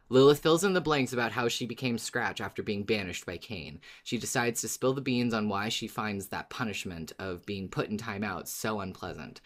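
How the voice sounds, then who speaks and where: Podcast cohost, medium pitch, dramatic and emphatic, talking thru a story like a big fan. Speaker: podcast co-host. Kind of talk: scripted recap, then animated fan discussion.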